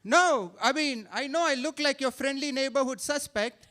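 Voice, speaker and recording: A man's voice: very high voice, normal speed, sharp tone. A man with an Indian accent doing a self-mocking stand-up set on a theater stage, with playful asides to the crowd. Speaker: stand-up comedian.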